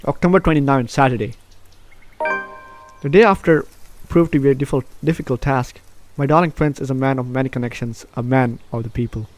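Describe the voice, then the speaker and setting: A man's voice: mid-range voice, steady tone, quick pace, few pauses, a little mellow. A man hosting a casual let's play, chatting and reading a story game's text aloud. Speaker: gaming video host. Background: game music.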